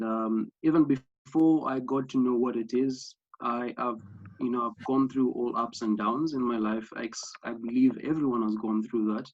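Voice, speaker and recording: A man's voice: medium pitch, no room sound. A young man from Kenya with a Kenyan accent, earnestly presenting an online workshop over a video call, with many fillers and restarts. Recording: slightly dull lo-fi sound.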